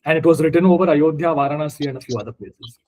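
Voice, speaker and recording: A man's voice: fairly high pitch, rapid-fire, mellow. A man from India with an Indian accent and Marathi as his first language, teaching a scripture study group informally, explaining word by word. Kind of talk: informal explanatory lesson with questions.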